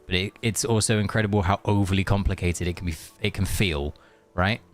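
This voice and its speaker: A man's voice: low voice, steady tone, fairly quick, faint music behind. A man chatting casually on a game live stream, rambling and answering viewers as he plays. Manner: rambling, chatty, reads chat aloud.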